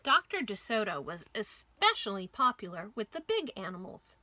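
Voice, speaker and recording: A woman hosting a children's storytime, reading a picture book aloud with clear phrasing and emphasis for young listeners. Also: medium pitch, big pitch swings, normal speed, bright, forceful tone, slight background noise. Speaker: children's librarian, storytime host.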